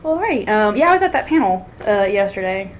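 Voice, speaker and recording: A woman's voice: mid-range voice, sing-song, brisk, a little hiss or hum. Female interviewer, mid-range voice, chatty and enthusiastic. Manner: enthusiastic, chatty, laughs often. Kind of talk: casual interview.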